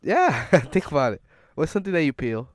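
A man's voice: a little high, very animated, brisk. A young man co-hosting a casual podcast, playful and teasing, mixing in Spanish words and sounding stuffy from a cold. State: stuffy, sick. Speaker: podcast co-host. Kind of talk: casual chat.

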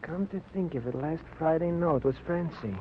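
A man's voice: on the high side, normal speed, lightly acted. Man playing fussy chatty little New shopkeeper. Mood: chatty, flustered. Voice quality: hesitant, wordy, mock-formal phrasing. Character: chatty, fussy, good-natured little male candy store owner. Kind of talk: 1940s-50s radio drama acting. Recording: muffled, noisy old radio recording.

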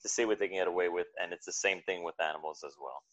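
Podcast guest, man, lowish voice, earnest explainer, muffled lo-fi line. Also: very fast, fairly bright tone. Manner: earnest, explains at length with fillers. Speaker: podcast guest, dog business owner. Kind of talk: casual interview chat. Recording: dull lo-fi sound.

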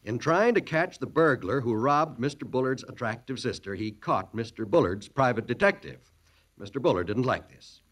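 Brief: male old-time radio announcer, genial recap, lively intonation. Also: medium pitch, normal speed.

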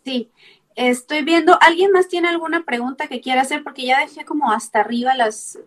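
A woman's voice: high-pitched, brisk. A woman hosting an interview as a fellow nutritionist, asking questions and giving friendly advice.

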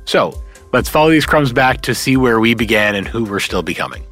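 A man's voice: medium pitch, expressive, brisk, fairly bright tone, soft background music. A man hosting a podcast, reading an earnest, reflective introduction to the show and its guest. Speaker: podcast host.

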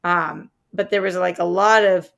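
A young adult woman co-hosting a casual pop-culture podcast, chatty and halting, often breaking off mid-sentence. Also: fairly low pitch, fairly even intonation, creaky, a bit bright. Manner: chatty, halting, many fillers and restarts. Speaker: podcast co-host.

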